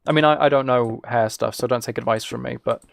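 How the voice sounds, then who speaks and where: A man's voice: mid-range voice, fairly even intonation, fast talker, creaky. A British man streaming a game and chatting casually with viewers, musing aloud in an informal, rambling way. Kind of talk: casual chat while playing a game.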